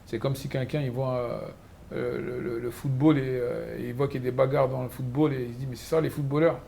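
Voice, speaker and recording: A man hosting an interview, chatty and lively, telling anecdotes and asking questions. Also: medium pitch, brisk, vocal fry, a little hiss or hum. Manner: chatty, animated storyteller. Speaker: host. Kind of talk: interview, conversational.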